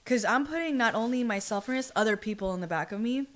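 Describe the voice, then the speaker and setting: A woman's voice: a little high, animated, fast. A young adult woman chatting casually on a podcast, telling personal stories in an informal, slangy and emphatic way. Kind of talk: casual chat, personal storytelling.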